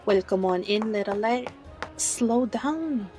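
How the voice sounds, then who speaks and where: A woman's voice: mid-range voice, animated, takes it slowly, warm tone. Over music comes a friendly fantasy tavern bartender, acted by a woman. She has a mid-range voice and a chatty, familiar way with patrons. Her folksy turns of phrase carry a wry edge, in the style of an audio roleplay. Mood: welcoming, folksy.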